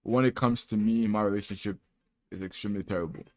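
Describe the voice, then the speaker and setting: A young man in his twenties talks straight to his viewers in a loose, rambling monologue. His voice sits a little low, and he is candid and self-deprecating. He restarts sentences and drops casual slang as he goes. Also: fairly even intonation, fast talker, a little mellow, no echo. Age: 20s. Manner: casual slang, says "bro" often. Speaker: vlogger. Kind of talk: personal reflection monologue.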